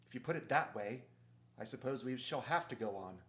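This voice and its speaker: A man's voice: mid-range voice, lively intonation, fairly quick, fairly bright tone, lightly acted. A man voicing a noble young king and sea commander in a storybook, speaking firmly and emphatically. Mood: exasperated.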